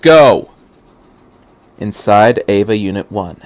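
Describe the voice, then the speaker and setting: A man's voice: medium pitch, moderate pace. A man reading fan fiction aloud as a narrator, with an earnest, dramatic delivery.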